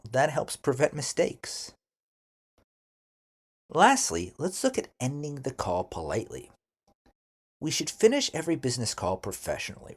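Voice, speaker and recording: Male language instructor, husky voice, slow and deliberate delivery with careful enunciation for learners. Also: mid-range voice. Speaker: English teacher. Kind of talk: scripted language lesson with model phrases to repeat.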